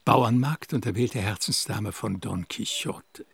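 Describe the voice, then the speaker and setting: Gravelly, husky male narrator. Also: fairly low pitch, unhurried, fairly bright tone, dry recording. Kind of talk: scripted narration introducing characters in a radio drama.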